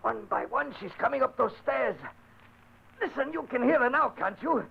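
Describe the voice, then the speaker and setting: A man's voice: very high-pitched, lively intonation, moderate pace, gravelly, husky, full character voice. An actor playing a mad aristocrat tormented by his dead sister, frantic and paranoid, swinging to menace. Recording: muffled hissy old radio recording.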